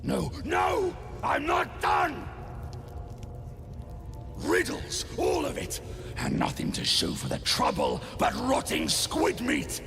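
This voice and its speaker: Gravelly hobgoblin warlord for a game, slow n wildly swingy, impatient, music behind. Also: male voice, a bass voice, huge pitch swings, shouting, exaggerated voice. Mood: furious, frustrated. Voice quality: gravelly growl. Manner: bellowing incantations, rough blunt speech. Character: brutish male hobgoblin war leader, commanding and impatient. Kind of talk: video game character acting.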